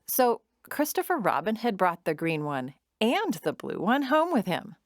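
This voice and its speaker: Animated woman narrating a children's story. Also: medium pitch, dry recording, studio quality. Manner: expressive, deliberate storytelling.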